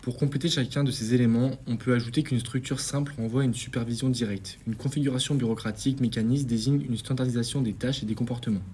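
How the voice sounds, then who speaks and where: A young man hosts a scripted quiz show and rattles off his questions at a very quick pace. His voice has a dark, mellow tone, and he reads every explanation in a steady announcer manner. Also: mid-range voice, faint music behind. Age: young adult.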